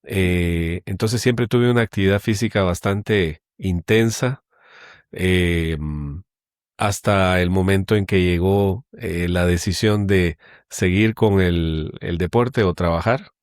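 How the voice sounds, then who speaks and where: A middle-aged man from Guatemala, a guest telling his story in a relaxed interview, pausing often with hesitations. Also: low voice, smooth, no echo, studio quality. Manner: measured, with many hesitations. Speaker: guest, company general manager. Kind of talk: conversational interview.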